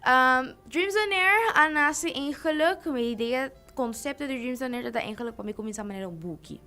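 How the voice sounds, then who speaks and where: A teenage girl from Aruba, talking on a radio interview with eager energy. Her voice sits a little high, and she slips between languages mid-sentence while she explains her show. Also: lively intonation, normal speed, bright, forceful tone, smooth. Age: teens. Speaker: youth radio show host, guest.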